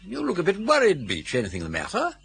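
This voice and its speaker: An actor playing a jaunty upper-class English gentleman in his sixties in a radio drama, breezy and genial. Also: high-pitched, huge pitch swings, quick pace, gravelly, exaggerated voice. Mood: brisk, breezy. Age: 60s. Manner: breezy, genial, clipped asides. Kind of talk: British radio drama acting.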